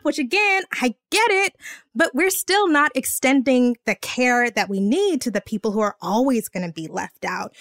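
A woman guest in an earnest conversation, speaking emphatically and lifting her pitch to stress her points. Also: a bit bright, no room sound, clean studio sound.

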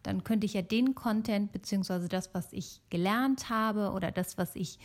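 A woman's voice: mid-range voice, moderate pace, a little mellow. A middle-aged woman from Germany talking casually and alone as a podcast host.

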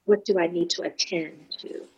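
A woman's voice: lowish voice, fairly even intonation, moderate pace, a little mellow, husky, dull audio. A woman who counsels grieving teens, giving a thoughtful, searching interview answer with restarts and fillers.

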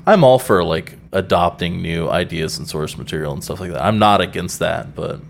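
A man's voice: deep voice, normal speed, gravelly. A man co-hosting a podcast in casual, rambling chat, full of fillers and casual swearing. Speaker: podcast co-host.

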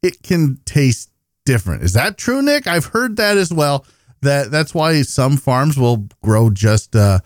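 A man's voice: medium pitch, very animated, measured pace, no room sound, studio quality. A man hosting a podcast in a casual conversational style, asking questions and often rephrasing them as he goes. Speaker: podcast host.

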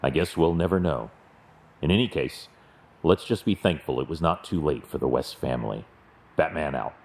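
An actor playing a brooding masked vigilante in an audio drama, terse, guarded and restrained. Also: male voice, extremely low pitch, normal speed, a little mellow. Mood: stoic, guarded.

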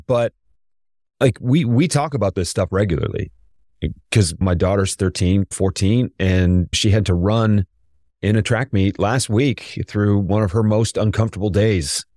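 A middle-aged man co-hosting a podcast, his voice raspy today, reflecting in casual chat and searching for words. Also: lowish voice, a little slow, a little mellow, no room sound, studio quality. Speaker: podcast co-host.

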